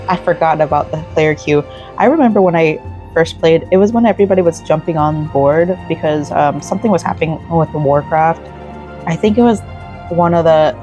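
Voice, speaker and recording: Relaxed woman let's-play host chatting while crafting; low, mellow voice, dry sound with faint game music underneath. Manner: relaxed, rambling, with frequent laughs. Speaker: gaming and crafting channel host. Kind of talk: casual let's-play chat while knitting.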